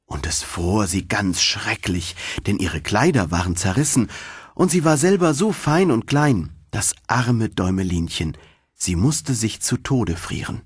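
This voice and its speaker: A man narrating a fairy tale for children as an audiobook, in an expressive, dramatic storytelling manner. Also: on the low side, a little slow, husky, no room sound, clean studio sound.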